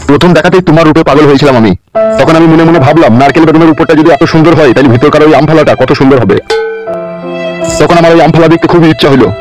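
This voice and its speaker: Man playing a wheedling, rapid-fire cartoon politician. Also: fairly high pitch, fairly even intonation, music in the background, lightly acted. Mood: lecherous, coaxing. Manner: wheedling, crude. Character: lecherous, wheedling, corrupt male politician in a cartoon. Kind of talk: comedy cartoon dubbing.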